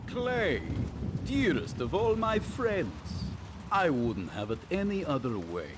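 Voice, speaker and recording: An actor playing a smug, gloating crime boss villain in a video game, grandiose and mock-affable. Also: male voice, fairly high pitch, sing-song, takes it slowly. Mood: smugly affable. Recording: clearly noisy game scene.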